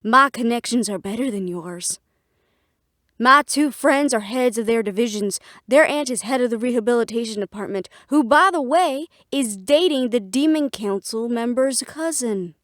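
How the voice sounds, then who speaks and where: A woman's voice: on the high side, lively intonation, normal speed, fairly bright tone, dry recording, studio quality. A woman playing a defiant, fiercely independent half-fae daughter, blunt and sarcastic, in a fantasy audio drama. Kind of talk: fantasy audio roleplay acting.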